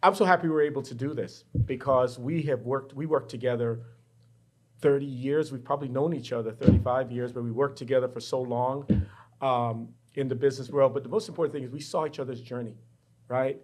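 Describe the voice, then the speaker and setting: Middle-aged guy from the bahamas, professor on an interview, thoughtful storytelling, everyday pitch. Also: steady tone, brisk, a little mellow. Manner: reflective, personal storytelling. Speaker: professor, guest. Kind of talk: candid interview conversation. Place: university studio.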